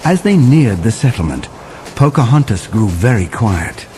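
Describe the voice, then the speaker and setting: A man's voice: fairly low pitch, big pitch swings, normal speed, dark tone, a little hiss or hum. A man playing a settler who recounts a winter adventure in vivid, dramatic children's story narration. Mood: wondering.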